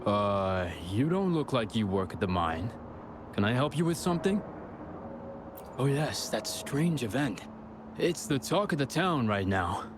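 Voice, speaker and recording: A man's voice: medium pitch, sing-song, measured pace. An actor playing a polite, businesslike man at a mine greeting a stranger. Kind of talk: game cutscene dialogue. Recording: noisy ambience underneath.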